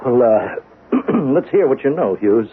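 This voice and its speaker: An actor playing a flustered, dismissive army general who harrumphs and repeats himself in a 1970s radio drama. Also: male voice, medium pitch, expressive, slow pace, a little hiss or hum. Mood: dismissive, official. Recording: muffled, phone-like old radio recording.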